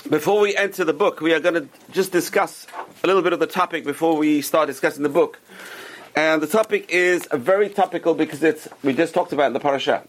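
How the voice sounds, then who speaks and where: A man's voice: on the high side, fast talker. A man teaching an informal religious class to a group around a table, explaining step by step, repeating key points and asking the students questions. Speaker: Torah teacher.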